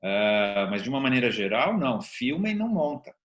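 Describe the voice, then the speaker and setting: A man's voice: medium pitch, very animated, brisk, a bit bright, lo-fi sound. A middle-aged or older Brazilian man in a conversational discussion, explaining things and repeating phrases for emphasis. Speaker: interview show host. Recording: roomy, echoing sound.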